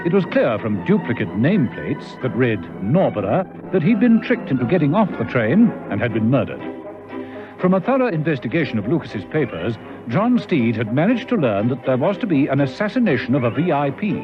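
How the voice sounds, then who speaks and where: A man's voice: mid-range voice, big pitch swings, fairly quick. A man narrating a vintage radio serial in a dramatic, scripted style. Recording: dull lo-fi old radio recording. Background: music.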